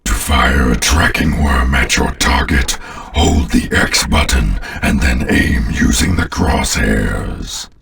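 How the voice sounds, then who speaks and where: A sinister alien overlord for a video game, his voice bottomless and full of gravel. He speaks with slow, arrogant menace, as if every listener were a lesser servant. The sound sits back from the microphone. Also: expressive, a bit bright, far from the mic, heavily acted. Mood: imperious, instructive. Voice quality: very deep, gravelly. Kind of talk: video game villain voice lines.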